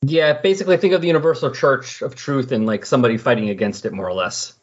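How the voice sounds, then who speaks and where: A man with a slightly raspy voice talks in a loose, conversational way. He rambles casually, like someone co-commentating on a hobby podcast. The recording is a little dull and lo-fi. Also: medium pitch, quick pace. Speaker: podcast co-commentator on comic books. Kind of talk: casual chat, recounting comic book plots.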